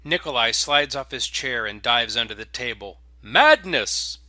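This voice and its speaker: A man reading scripted space opera fiction as a dramatic, deliberate narrator. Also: medium pitch, bright, forceful tone, no echo.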